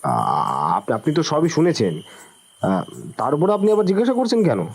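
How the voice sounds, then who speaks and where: Casting: male actor as nervous young male suspect, evasive and stammering, higher-pitched, very animated delivery, audio drama. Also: normal speed, vocal fry, husky, heavily acted. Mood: flustered protest. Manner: stammering, agitated, protesting. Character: Hossain, nervous, evasive young man under police suspicion.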